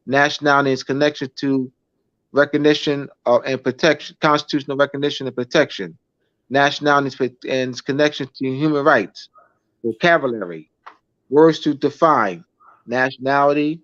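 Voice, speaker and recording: A man from the United States, a teacher and author, reads out a lesson outline and then chats casually, halting and repeating words. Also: fairly high pitch, no echo, muffled recording.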